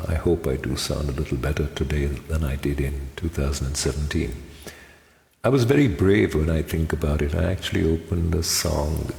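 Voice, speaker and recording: An older man from India with a very low voice, recalling his time singing karaoke in a reflective, self-deprecating way with many pauses. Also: creaky, a little mellow. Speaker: amateur karaoke singer. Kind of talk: personal recollection. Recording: echoey room with long reverb.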